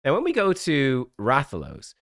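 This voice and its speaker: A man with a stuffy, slightly rough voice from a cold, giving a chatty, rambling breakdown of a game event as a gaming channel host. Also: mid-range voice, wildly swooping pitch, moderate pace, fairly bright tone, no room sound, studio quality. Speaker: gaming YouTuber. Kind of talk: casual game commentary.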